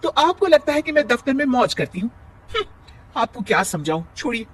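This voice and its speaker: A man's voice: extremely high pitch, sing-song, brisk, breathy edge, recorded from a distance, pitched above the performer's own voice, full character voice. A man voicing an exasperated, complaining young working daughter-in-law in a family story.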